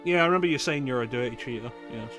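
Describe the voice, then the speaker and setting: A man's voice: mid-range voice, rapid-fire. A man streaming a video game, chatting wryly and answering his viewers. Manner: wry, chatty, answers chat. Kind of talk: casual chat while playing. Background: game music.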